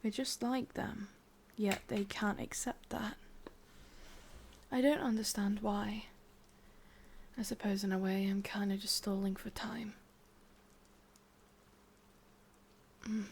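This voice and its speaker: A woman with an English accent voicing a sadistic, lovesick witch in a whispered, intimate ASMR roleplay, murmuring slowly and haltingly. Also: medium pitch, flat delivery, darkish tone, slight background noise, close to an everyday voice. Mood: conflicted, tender menace. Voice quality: breathy, whispery. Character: sadistic, lovesick young witch, wistful and possessive.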